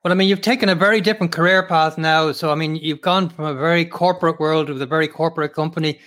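A man hosting an interview over a video call, measured and formal with many hesitations. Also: a little high, fairly even intonation, a bit bright. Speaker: host.

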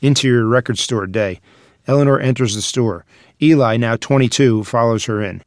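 A man's voice: medium pitch, normal speed, dry recording, studio quality. A man narrating the stage directions of a screenplay at a table read.

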